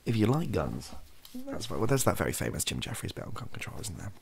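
A British man around thirty giving improvised, softly spoken comedic commentary in a rambling, dryly humorous way, often interrupting himself. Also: lowish voice, wildly swooping pitch, fast, vocal fry, slight background noise. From Wales, UK. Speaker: comedy ASMR video creator. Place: small cluttered bedroom or home office, indoors.

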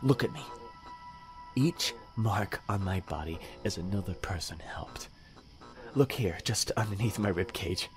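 Deranged serial killer, audio drama: halting, whisper-prone menace, widely swinging pitch, clear music underneath. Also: male voice, lively intonation. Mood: self-righteous, menacing. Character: deranged, self-righteous male serial killer confiding in his victim.

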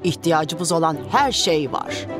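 Low-voiced old grandmother storyteller. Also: expressive, takes it slowly, exaggerated voice. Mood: calm. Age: older. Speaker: narrator of her tale. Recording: studio voice with music.

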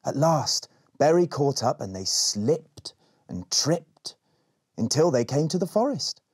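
A man in his twenties reads a children's picture book aloud. His mid-pitched voice is husky and swings widely as he tells the tale expressively. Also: slow. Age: 20s. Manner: expressive, acts out dialogue. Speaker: storyteller.

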